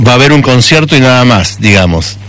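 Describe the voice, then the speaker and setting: A man's voice: medium pitch, lively intonation, quick pace, a bit bright, dry recording. Argentine male radio interviewer, dry studio sound.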